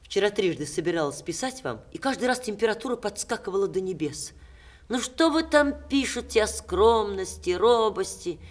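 An actress in a 1960s Russian radio play, playing a witty, ailing stage star with expressive theatrical diction. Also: female voice, medium pitch, normal speed, lightly acted. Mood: agitated, reproachful.

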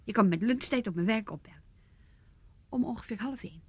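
Determined female radio drama role: breathy, dry close sound, insistent and teasing. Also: on the high side, lively intonation, a little fast, a little mellow, dry recording. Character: determined, domineering woman pushing her hesitant lover. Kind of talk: radio play acting.

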